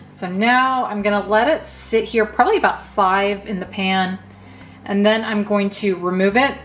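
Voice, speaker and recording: A woman talks through a home baking recipe, one easy step at a time, in a relaxed, chatty way. Her voice sits in the middle of the range. The kitchen gives it an echoey ring, with soft music under it. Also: medium pitch. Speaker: cooking channel host. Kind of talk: casual baking demonstration.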